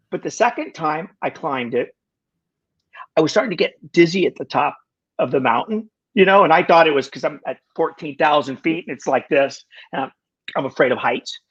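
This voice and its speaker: A man of about sixty, a guest in a casual conversation, talking in halting bursts with lots of restarts and wry self-deprecating jokes. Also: on the high side, fairly bright tone, breathy edge, dull audio. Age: 60s. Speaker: podcast guest.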